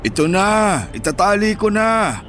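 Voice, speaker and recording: A man's voice: high voice, big pitch swings, unhurried, fairly bright tone, a little hiss or hum, heavily acted. A man playing an elderly, easygoing street vendor who reasons and mildly grumbles in a radio drama. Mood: grumbling.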